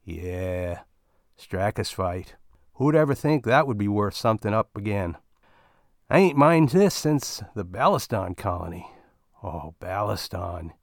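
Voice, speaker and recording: A man's voice: lowish voice, big pitch swings, measured pace, gravelly, no room sound, clean studio sound, full character voice. A man voicing a crude, talkative male space miner with a folksy, ungrammatical drawl. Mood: griping.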